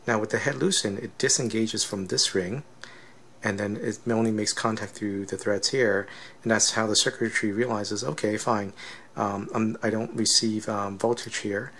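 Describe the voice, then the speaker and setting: Low, creaky-voiced man explaining gear. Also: steady tone, moderate pace, a bit bright, slight background noise. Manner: explanatory, often checks with "right?". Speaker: product reviewer demonstrating flashlights. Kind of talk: casual unscripted technical demonstration.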